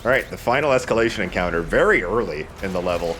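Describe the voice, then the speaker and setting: A man's voice: lowish voice, expressive, quick pace, fairly bright tone, a little hiss or hum. A man giving casual commentary over a video game, talking in a conversational let's play style. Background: video game sound.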